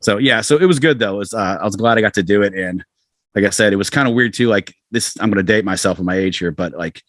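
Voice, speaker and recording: A man's voice: fairly low pitch, quick pace, fairly bright tone, dry recording, clean studio sound. An American man in his forties chatting casually as an interview guest, rambling and self-deprecating as he tells stories. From southern United States. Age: 40s. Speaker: podcast guest, rock musician.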